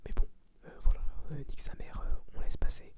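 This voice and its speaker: Whispering young man, ASMR. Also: fairly quick. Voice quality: breathy, unvoiced whisper. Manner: slangy, sweary, blunt. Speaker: ASMR creator reviewing a film. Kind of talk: ASMR, informal film review.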